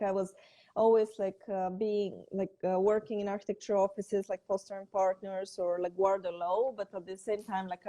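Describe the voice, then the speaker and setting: A woman from Greece and Bulgaria speaks in a mellow, medium-pitched voice. She tells her story casually as a guest on an online interview. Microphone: video call.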